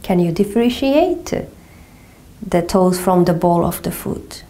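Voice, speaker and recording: A middle-aged Portuguese woman with a Portuguese accent, gently guiding a floor movement exercise with slow, calming cues and frequent check-ins. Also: fairly low pitch. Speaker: movement teacher. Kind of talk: guided somatic exercise instruction. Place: small carpeted room indoors.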